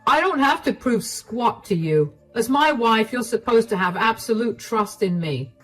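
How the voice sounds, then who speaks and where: A woman's voice: lowish voice, expressive, moderate pace, breathy edge, soft background music, poor audio. A woman doing a whiny, defensive husband. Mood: indignant. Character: lazy unemployed husband, defensive and entitled.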